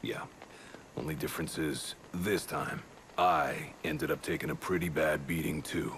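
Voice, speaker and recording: An actor playing a confident, casual young royal bodyguard in a video game, talking in laid-back, slangy phrases. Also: male voice, rumbling low, steady tone, a little slow, a little hiss or hum, full character voice. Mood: teasing. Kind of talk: dramatic video game acting. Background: loud music.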